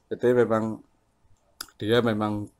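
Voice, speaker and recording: Guy, kinda low voice, radio hobby vlogger just chatting away, into his gear. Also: little pitch movement, slow pace, clear, resonant tone. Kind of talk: casual radio chat.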